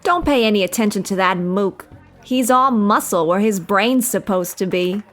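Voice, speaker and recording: A woman's voice: mid-range voice, moderate pace, soft background music, close to an everyday voice. A woman playing a sweet, naive, slightly dim nightclub showgirl in a 1940s-style noir radio comedy. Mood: friendly.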